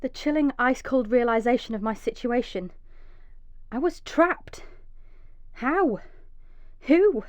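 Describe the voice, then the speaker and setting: An actress playing a frightened, injured young mother in a radio drama, emotional and swinging from hushed dread to pleading. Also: a little high, expressive, slow pace, pauses often, no echo. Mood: haunted, dazed. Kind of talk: radio drama monologue with acted dialogue.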